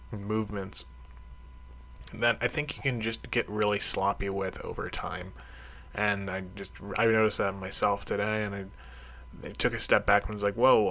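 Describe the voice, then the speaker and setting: Low-pitched man rambling through a casual diary. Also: sharp tone. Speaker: karate practitioner keeping a training log. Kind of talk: casual solo audio diary.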